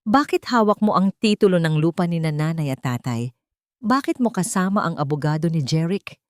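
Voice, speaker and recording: A woman's voice: lowish voice, animated, moderate pace, no echo, clean studio sound, lightly acted. A woman voicing an anguished older sister who pleads emotionally in an audio story. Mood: hurt disbelief.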